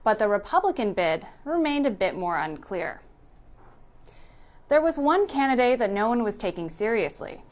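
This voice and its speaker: Woman narrating a scripted news recap. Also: a little high.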